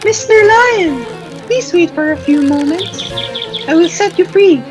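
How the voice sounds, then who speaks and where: A woman's voice: extremely high pitch, lively intonation, measured pace. A woman voicing a tiny, timid female mouse in a storybook radio play, polite in manner. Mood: reassuring. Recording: music behind the voice.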